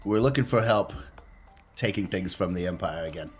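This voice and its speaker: Very low-pitched man in a tabletop game. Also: moderate pace, soft background music. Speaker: player in a tabletop role-playing game. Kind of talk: casual in-character game chat.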